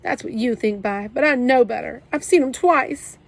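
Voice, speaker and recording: A woman's voice: fairly high pitch, normal speed, voice pushed up. A woman playing a sobbing, ashamed young boy. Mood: tearful pleading. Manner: choked, pleading, trembling. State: crying. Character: tearful ten-year-old boy, sensitive and ashamed.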